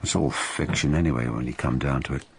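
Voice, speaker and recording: An actor playing an irritable, cynical writer in a radio drama, curt and sardonic. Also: male voice, very deep voice, quick pace, heavy background noise. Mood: cynical, musing.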